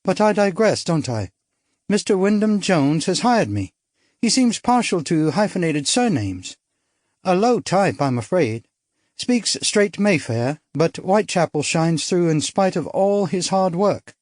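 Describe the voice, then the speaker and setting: A man's voice: high-pitched, very animated, a little slow, darkish tone, no room sound, studio quality, voice pushed up. A man voicing a witty, daring young upper-class Englishwoman who is an actress, with an arch, playful, theatrical manner.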